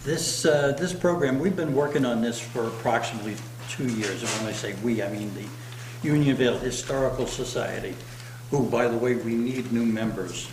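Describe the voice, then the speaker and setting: Loud-voiced man addressing a town board. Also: medium pitch, moderate pace. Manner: loud, unamplified voice. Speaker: historical society member presenting to the board. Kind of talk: public presentation at a meeting. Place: town hall meeting room. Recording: echoey room with background noise.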